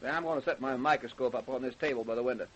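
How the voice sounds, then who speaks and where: An actor playing a keen, methodical and persistent investigator in an old-time radio crime drama, speaking in a matter-of-fact colloquial way. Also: male voice, medium pitch, racing, lightly acted. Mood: intent. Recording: hissy old radio recording.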